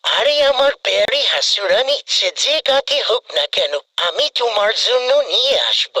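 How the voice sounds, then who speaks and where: An actor voicing a doting, flattering male leader who sweet-talks in an exaggerated comic cartoon manner. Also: mid-range voice, normal speed, a little hiss or hum.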